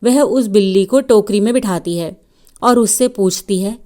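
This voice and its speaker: A woman's voice: mid-range voice, moderate pace, dark tone. A woman narrating a scripted children's story as a storyteller.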